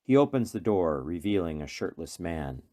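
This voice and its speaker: A man narrating a radio play from a script in a measured, deliberate, dramatic way. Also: low-pitched, sing-song, mellow, slight background noise. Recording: faint music behind.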